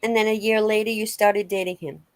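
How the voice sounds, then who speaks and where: A woman's voice: mid-range voice, little pitch movement, fairly quick, lightly acted. A woman reading the part of a casual, probing investigator in a dramatic reading of a police interview. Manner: conversational, inquisitive.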